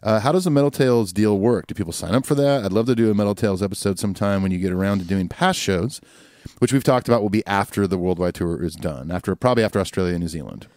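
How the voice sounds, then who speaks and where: A man co-hosting a casual podcast, easygoing and quick to agree, reading out listener emails. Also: lowish voice, darkish tone, no room sound, studio quality. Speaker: podcast co-host.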